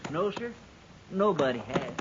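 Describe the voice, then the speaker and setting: An actor playing a loyal, folksy deputy in a 1950s radio western, plainspoken, deferential and drawling. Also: male voice, on the high side, sing-song, measured pace. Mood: grave, reluctant. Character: loyal, folksy male deputy bringing bad news. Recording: noisy, muffled 1950s radio recording.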